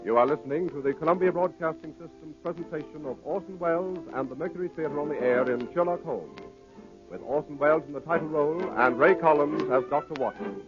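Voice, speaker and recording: A man's voice: a little high, brisk, pauses often. A man making formal announcements as a 1930s radio announcer. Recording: muffled 1930s radio recording. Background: music.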